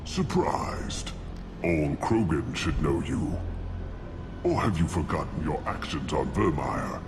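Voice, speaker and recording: A proud old alien warlord with a very low voice. He is scornful and imperious, making theatrical pronouncements like a scientist above everyone else. Game acting, with music clearly behind it. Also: animated, takes it slowly, full character voice. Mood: grandiose, sardonic.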